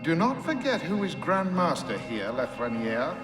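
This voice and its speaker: An actor playing a solemn, imperious Grandmaster of a secret order in a video game. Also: male voice, a little high, big pitch swings, normal speed. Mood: firm. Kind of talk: cinematic video game dialogue. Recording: reverberant hall, loud music.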